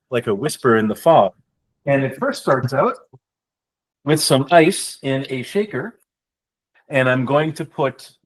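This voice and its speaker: A man co-hosting a podcast, talking through a cocktail recipe step by step as he mixes it. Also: mid-range voice. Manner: descriptive, explanatory, with fillers. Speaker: podcast co-host, drink mixer. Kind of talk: casual podcast chat, explaining a cocktail.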